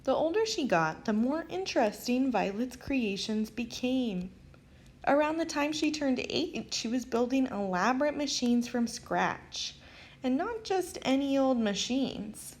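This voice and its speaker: A woman reading a children's picture book aloud as an expressive storyteller. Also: high-pitched, unhurried. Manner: expressive, animated storytelling with acted character lines. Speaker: storybook reader.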